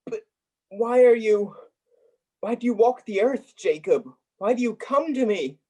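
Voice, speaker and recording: A man's voice: very high voice, animated, unhurried, a little mellow, exaggerated voice. A man acting a grumpy, miserly old man in a radio play, blustering and grumbling. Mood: nervous, pleading.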